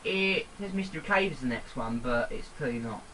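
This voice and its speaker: A man co-commentating on a video game in a casual chat style, piecing his remarks together haltingly. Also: mid-range voice, expressive, slight background noise, rough recording. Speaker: let's play co-commentator. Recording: loud game music over commentary. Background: video game music.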